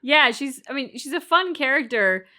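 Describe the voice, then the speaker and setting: A woman's voice: sits high, animated, moderate pace, pushed and bright. A woman co-hosting a reaction show, chatting casually and inquisitively as she thinks aloud.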